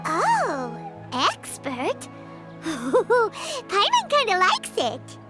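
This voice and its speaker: A woman voicing a tiny, childlike floating companion in an anime game, excitable and boastful, with a squeaky, exclamatory delivery. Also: extremely slow, full character voice. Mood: flattered, eager. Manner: speaks of herself in third person, exclamatory. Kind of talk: anime game character acting. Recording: music behind the voice.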